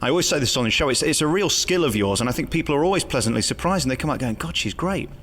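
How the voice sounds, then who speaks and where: An English man hosting a jokey celebrity interview, cheeky and flattering toward his guest. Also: on the high side, very fast. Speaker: radio show host, interviewer. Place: theatre dressing room. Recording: reverberant room with clear background noise.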